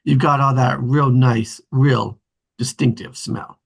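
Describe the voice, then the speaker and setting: A man at a script table read playing an affectionate, exuberant father and son in a family drama, with a husky voice. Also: medium pitch, fairly even intonation, slow pace, heavily acted. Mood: teasing, affectionate.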